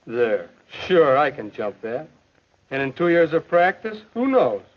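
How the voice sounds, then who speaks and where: An American actor playing an earnest, self-doubting high school boy athlete in a 1950s educational film, with stagey delivery. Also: sing-song, unhurried. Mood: earnest, hopeful. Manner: earnest, stagey thinking aloud. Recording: muffled old 1950s film soundtrack.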